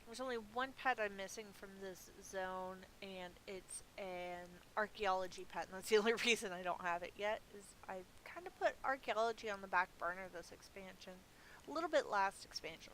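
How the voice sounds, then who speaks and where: A woman's voice: medium pitch, normal speed, fairly bright tone, low-quality recording. A woman giving casual live gameplay commentary as a streamer, chatty and thinking aloud. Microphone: budget gaming mic. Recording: faint hiss from a cheap microphone.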